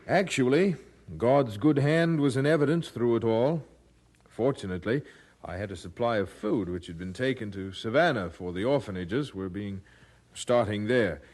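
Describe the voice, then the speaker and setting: Male actor as earnest travelling chaplain, formal and grave, mid-pitched, measured audio-drama delivery. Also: a little mellow, close to an everyday voice. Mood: courteous. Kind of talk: radio drama acting.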